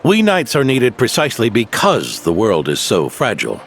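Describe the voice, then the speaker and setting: Gravelly old veteran knight. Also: male voice, on the low side, huge pitch swings, normal speed, faint music behind. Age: older. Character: aging veteran male knight near retirement, stubborn. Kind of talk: game cutscene voice acting.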